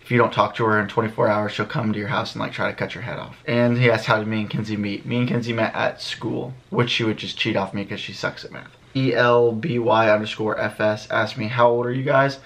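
A man's voice: on the low side, fairly even intonation, brisk, hardly any pauses, a bit bright. An American man in his early twenties talking casually to camera in a laid-back, slangy way, answering questions for a vlog. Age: early 20s. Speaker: vlogger, channel host. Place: small bedroom indoors. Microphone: camera a few feet away.

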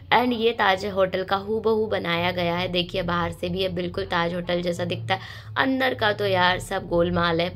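A young woman, hoarse from a cold, chatting to viewers in a casual vlog voice-over. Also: fairly low pitch, a bit bright, a little hiss or hum. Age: young adult. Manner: chatty, addressing viewers directly. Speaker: vlogger and dance choreographer.

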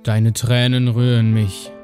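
A man's voice: mid-range voice, level tone, takes it slowly, soft background music, natural voice. A man playing a compassionate huntsman in a fairy tale, speaking as if moved. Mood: pitying.

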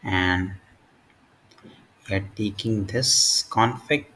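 A man narrating a step-by-step software tutorial in short, halting phrases. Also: lowish voice. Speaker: tutorial presenter.

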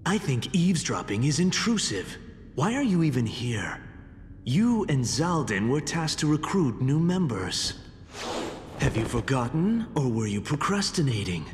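Aloof young schemer, echoing hall. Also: male voice, big pitch swings, measured pace. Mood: cool, disapproving. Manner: formal, clipped, disdainful. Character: stern, aloof young male schemer, dutiful and curt. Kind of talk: video game cutscene acting. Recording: reverberant hall, faint rumbling noise.

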